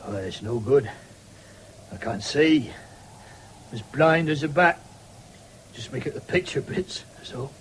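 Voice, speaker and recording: An actor playing a rough, deaf old vagrant with a rural English accent in a radio drama, terse and muttering. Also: male voice, mid-range voice, lively intonation, a little slow, pauses often, close to an everyday voice, rough recording. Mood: weary, grumbling. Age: older. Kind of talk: 1970s British radio drama acting. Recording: faintly hissy old radio broadcast.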